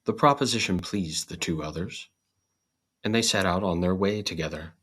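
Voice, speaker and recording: A man reading a scripted storybook tale as host and narrator, in a measured, deliberate manner. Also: sits low, a bit bright, breathy edge.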